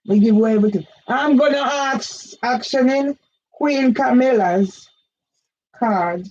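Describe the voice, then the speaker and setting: An older woman with a Jamaican accent, delivering an agitated, repetitive monologue for her own channel that rises now and then into shouts. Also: on the high side, unhurried. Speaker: vlogger. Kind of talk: impassioned monologue. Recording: dull lo-fi audio.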